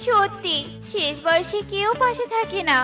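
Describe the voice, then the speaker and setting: A woman's voice: very high voice, lively intonation, unhurried, over music. A woman voicing a kind-hearted, earnest young female bird in a children's cartoon, with a sweet manner. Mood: sad, wistful.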